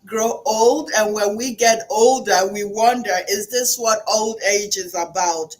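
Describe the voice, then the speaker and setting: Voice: medium pitch, a little slow, low-quality recording. A podcast host interviewing a guest, giving short encouraging affirmations and prompting the story.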